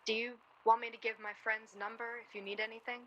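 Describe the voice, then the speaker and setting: Woman acting blunt bitter young woman in a radio drama, bright edgy voice, bit of background noise. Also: a little high, level tone, quick pace, lo-fi sound, close to an everyday voice. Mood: curt, subdued. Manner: blunt, argumentative. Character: guarded, bitter, blunt young woman, protective of a close friend. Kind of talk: radio play dialogue, naturalistic period drama.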